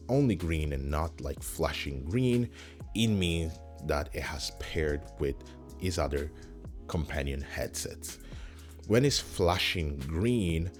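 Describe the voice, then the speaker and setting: Male tech reviewer, very deep voice, slow delivery, casual how-to tone that repeats key points, light music behind. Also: darkish tone. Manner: repeats points, checks in with "right?". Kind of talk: casual explanatory product demo.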